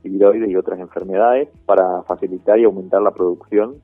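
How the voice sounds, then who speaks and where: A man's voice: deep voice, fairly even intonation, brisk. A man explaining things as an expert in an interview. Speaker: interviewed agricultural specialist. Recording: dull, roomy sound with faint music. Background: faint music.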